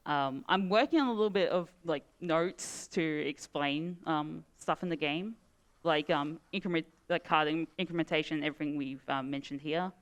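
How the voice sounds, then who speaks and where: A woman's voice: sits low, moderate pace, clear, resonant tone. A woman with an Australian accent chatting casually after finishing a speedrun, hesitant and full of "um" and "like". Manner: hesitant, many "um" and "like" fillers. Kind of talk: casual post-run chat.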